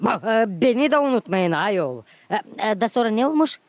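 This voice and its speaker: A woman voicing a cheeky, teasing youngster in an animated cartoon. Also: fairly high pitch, moderate pace, no echo.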